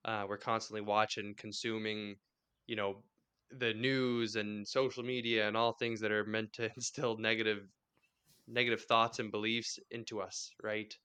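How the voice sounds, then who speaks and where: A man hosting a podcast, talking in a relaxed, reflective and friendly way. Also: lowish voice, moderate pace, smooth. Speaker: podcast host. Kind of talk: casual podcast conversation.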